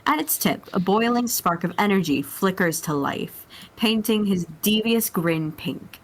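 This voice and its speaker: A woman's voice: medium pitch, lively intonation, measured pace, poor audio. A woman reading scripted story narration dramatically as part of a group roleplay reading.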